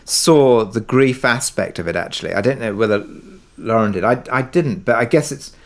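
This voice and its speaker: A man's voice: medium pitch, moderate pace. A man interviewed as a writer, speaking reflectively and tentatively as he searches for his words. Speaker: interviewed writer of an audio drama.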